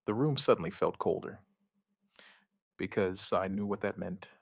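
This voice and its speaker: A man narrating a scripted first-person survivor horror story, gravely and in short, measured phrases with dramatic pauses. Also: sits low, no room sound, studio quality.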